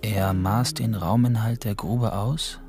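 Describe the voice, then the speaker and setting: Loud music surrounds a man's low voice. He narrates a radio play in scripted literary prose. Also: steady tone, takes it slowly.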